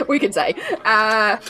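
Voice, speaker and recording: A woman's voice: mid-range voice, sharp tone, slight background noise. A woman with a New Zealand accent chatting casually as a guest player on a tabletop roleplaying podcast. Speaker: guest player and chat moderator. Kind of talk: casual chat.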